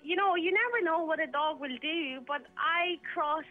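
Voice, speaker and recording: A woman's voice: extremely high pitch, normal speed, soft background music. A woman from Iran who grew up in Vienna, calling in to a radio phone show and talking frankly with lots of fillers. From Iran, raised in Vienna, Austria. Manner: chatty, frank, uses fillers. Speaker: caller. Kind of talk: phone-in call. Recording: tinny phone line.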